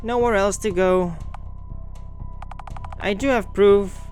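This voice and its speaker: A man's voice: high-pitched, steady tone, slow pace, a little mellow, faint music behind, voice pushed up. A man voicing a determined young accuser in a visual novel, speaking with indignant, dramatic conviction. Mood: confident reasoning.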